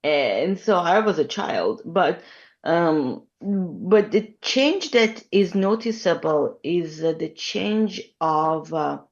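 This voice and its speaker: A woman in her fifties from Iran, speaking as an interview guest, reflective and thoughtful, pausing often with ums. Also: on the low side, expressive, lo-fi sound. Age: 50s. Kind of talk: conversational interview.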